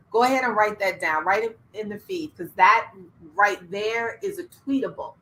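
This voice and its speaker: A middle-aged woman coaching entrepreneurs in an online workshop, energetic, laughing and asking the audience questions. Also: on the high side, low-quality recording. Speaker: business coach. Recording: faint background noise.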